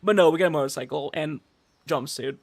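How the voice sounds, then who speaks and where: A man doing casual livestream commentary over a game, chatting with viewers in an animated, exasperated, repetitive rant. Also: a little high, fairly quick, gravelly. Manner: animated ranting, exasperated, swearing, repetitive emphasis.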